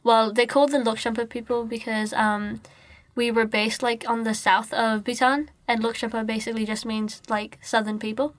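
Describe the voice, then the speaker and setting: A woman's voice: a little high, level tone, normal speed, dry recording. A woman, chatting informally in an interview and peppering her talk with "like". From New Zealand, born in Nepal. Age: late teens. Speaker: podcast guest, student. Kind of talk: casual interview chat.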